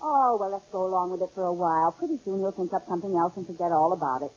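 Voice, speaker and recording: An actress with an American accent playing a scatterbrained, playful, affectionate housewife in a 1950s-style radio comedy. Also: female voice, fairly low pitch, expressive, rapid-fire, darkish tone, breathy edge. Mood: breezy. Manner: coaxing, playful. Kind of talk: 1950s radio sitcom acting. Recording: dull, very noisy vintage radio recording.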